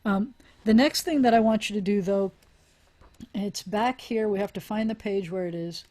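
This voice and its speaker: A woman's voice: medium pitch, normal speed, mellow. A woman teaching a language class over a video call, explaining aloud with restarts and small laughs. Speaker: Hebrew teacher.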